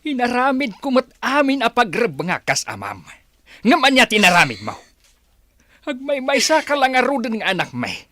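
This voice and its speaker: A man plays a stern, judgmental Filipino father in a radio drama. His delivery is extremely animated, swooping up and down, with a forceful edge and a very dry sound. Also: very high-pitched, moderate pace, dry recording, heavily acted. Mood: angry, scolding. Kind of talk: Ilocano radio drama acting.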